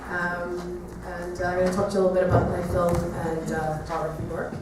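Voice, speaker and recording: A woman from Trinidad giving an artist talk to an audience, speaking reflectively with hesitant pauses. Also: fairly low pitch, monotone, over music. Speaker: visual artist giving a presentation. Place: echoey room, talk to an audience. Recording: echoey room, distant mic, faint noise.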